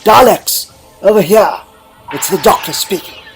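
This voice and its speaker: A man acts out an eccentric sci-fi hero with theatrical boldness. He calls out loudly and declaims at his foes in a raised voice. Music plays clearly behind him. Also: sits high, a little slow, pitched above the performer's own voice. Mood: defiant. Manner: loud, declamatory calling out. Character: bold, theatrical male eccentric hero taunting his enemies. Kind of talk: fan sci-fi audio story.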